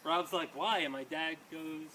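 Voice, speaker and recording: A man's voice: a little high, a little slow, sharp tone. A man performing his own poems at a live reading, with an emphatic, rhythmic delivery and casual asides. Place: small live reading before an audience. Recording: distant mic, noisy live room.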